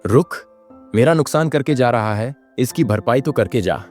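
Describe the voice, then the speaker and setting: A man's voice: medium pitch, lively intonation, moderate pace, darkish tone, soft background music, lightly acted. A man voicing an earnest, humble young samosa seller in a plaintive manner for a children's cartoon. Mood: distressed complaining. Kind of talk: Hindi children's moral-story cartoon dub.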